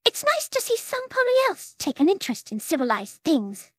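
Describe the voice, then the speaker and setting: A woman's voice: extremely high pitch, huge pitch swings, normal speed, no echo, clean studio sound, pitched above the performer's own voice, exaggerated voice. A woman voicing a refined, gracious young female pony from a cartoon fan story, with a polite, ladylike manner. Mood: gracious, genteel.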